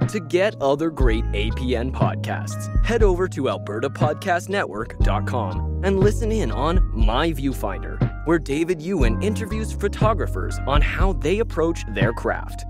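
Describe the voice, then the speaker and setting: A man's voice: a little high, very animated, measured pace, hardly any pauses. A man reading scripted podcast sponsor messages and credits as announcer, over music.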